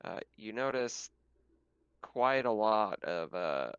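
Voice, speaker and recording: Gravelly low-voiced man running a game. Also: sing-song, takes it slowly, dry recording, lo-fi sound. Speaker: game master. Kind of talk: casual tabletop game narration.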